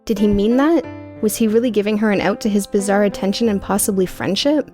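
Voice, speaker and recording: A woman's voice: medium pitch, fairly quick, dark tone, soft background music. A woman reading dramatic scripted narration as an audiobook narrator.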